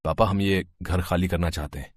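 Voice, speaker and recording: A man's voice: deep voice, fairly even intonation, fairly quick, no echo, clean studio sound. A man voicing a polite, helpful young son-in-law in a courteous, earnest way in an audio story. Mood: firm.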